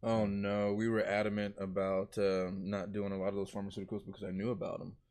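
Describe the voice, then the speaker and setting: A man's voice: fairly low pitch, little pitch movement, a little fast, darkish tone. A man speaking earnestly as a guest in a live talk show, with many fillers. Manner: earnest, many fillers and "you know what I mean".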